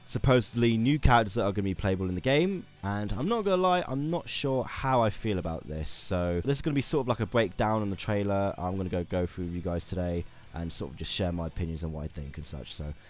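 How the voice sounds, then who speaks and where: A man's voice: low-pitched, expressive, quick pace, hardly any pauses. A man with a sore throat, rambling through a casual gaming commentary with many restarts and fillers.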